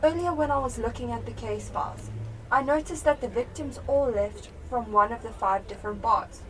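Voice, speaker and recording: Young woman acting a determined female detective, high voice, radio play, slightly distant mic, faint noise. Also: normal speed, a bit bright, lightly acted. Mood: focused, deducing. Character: sharp, determined female police detective piecing clues together. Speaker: student actor in a school radio play.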